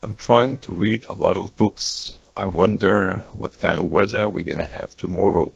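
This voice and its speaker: A man voicing a gravelly, husky male figure through an AI voice changer, in slow demo phrases. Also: sits low, no room sound, voice pushed down, exaggerated voice. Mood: flat musing. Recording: dull lo-fi converted audio.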